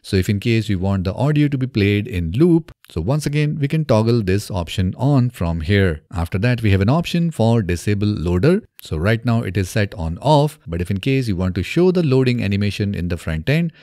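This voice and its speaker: A man in his thirties presenting a software tutorial step by step in a methodical way, recorded close on a desk microphone in a small home studio. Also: lowish voice, very animated, a little fast, hardly any pauses, dry recording. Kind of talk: scripted software tutorial narration. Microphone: close desk microphone on boom arm.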